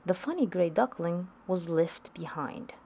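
A woman reading a picture book aloud to young children, in a deliberate storytelling delivery. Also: lowish voice, lively intonation, gravelly, warm tone, a little hiss or hum. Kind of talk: read-aloud storybook narration.

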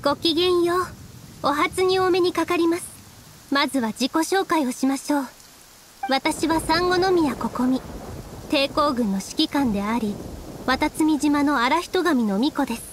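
An actress playing a gentle, composed young priestess commander in a Japanese video game, speaking politely and formally in measured phrases. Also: female voice, high-pitched, slight background noise. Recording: music and rain under dialogue.

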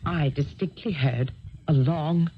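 An actress playing a frightened young heiress in a 1970s radio drama, fearful, earnest and hesitant. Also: female voice, low voice, takes it slowly, highs cut off, heavy background noise.

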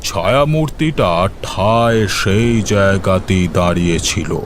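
Slow, low-voiced male horror narrator. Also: noisy background. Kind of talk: scripted horror story narration.

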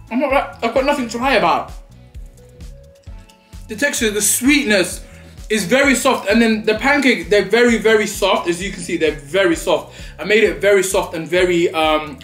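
A man's voice: high-pitched, a little fast, a bit bright, roomy sound, soft background music. A young man, chatting dramatically and playfully in a food vlog while eating at a table. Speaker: vlogger. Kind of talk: mukbang vlog chat. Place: small indoor studio set at a table.

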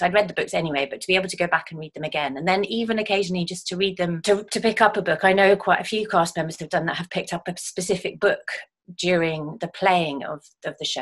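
A middle-aged woman speaks in a fairly low voice, answering questions reflectively. She talks as an actor being interviewed over a video call from home. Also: a bit bright. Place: indoors at home.

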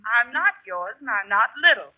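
Prim saleslady, lively swooping intonation, brisk, thin phone-like old radio sound. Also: fairly high pitch. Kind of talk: 1940s radio comedy acting.

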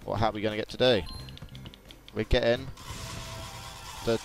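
A deep-voiced man chats casually through a video game he is hosting. He is playful, tossing out exclamations and vocal sound effects, with game music playing strongly behind him. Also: steady tone, normal speed, vocal fry. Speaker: gaming video host. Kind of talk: casual let's-play commentary.

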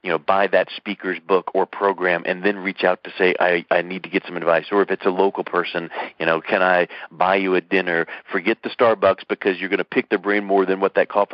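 Chatty low-voiced male radio host. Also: flat delivery, a little fast, hardly any pauses, dry recording. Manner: upbeat, chatty, sums up guest's points. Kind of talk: interview host chat. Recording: dull lo-fi radio sound.

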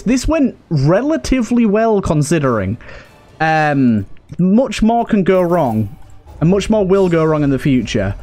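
A man's voice: fairly high pitch, darkish tone. A man hosting a gameplay video, thinking aloud in short remarks as he gives casual commentary. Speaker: gameplay host.

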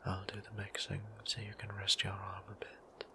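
A man voicing a gentle, formal male vampire priest in an ASMR roleplay, whispering with courtly, old-fashioned politeness. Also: low voice, completely flat delivery, moderate pace, gravelly, a little hiss or hum, full character voice. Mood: tender.

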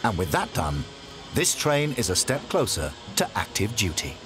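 A man giving scripted documentary narration in a measured, storytelling delivery. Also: lowish voice, wildly swooping pitch. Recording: voice-over with background music.

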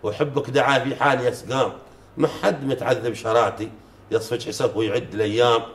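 Middle-aged man, folk poet, quick-talking, reciting verse in an echoey room. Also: mid-range voice, steady tone. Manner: measured, chanting recitation of verses. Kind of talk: reciting folk poetry in conversation. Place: living room sofa, indoors. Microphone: lapel mic. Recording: roomy echo.

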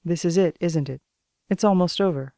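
A woman's voice: fairly low pitch, moderate pace, vocal fry, warm tone, dry recording, clean studio sound. A woman reading an audiobook as a composed, wry author character who narrates and debates. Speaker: audiobook reader.

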